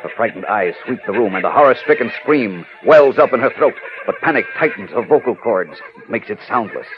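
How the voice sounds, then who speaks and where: A man's voice: fairly low pitch, lively intonation, brisk, natural voice. A man acting as a grave, suspenseful narrator in a theatrical 1940s radio drama style. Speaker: announcer and narrator. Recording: dull old radio recording. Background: dramatic music.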